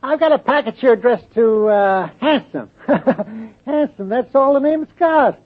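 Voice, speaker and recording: An actor playing a timid, eager young messenger, hesitant and deferential, in 1940s radio drama style. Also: male voice, very high voice, expressive, measured pace, full character voice. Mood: amused, nervous. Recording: tinny old radio recording.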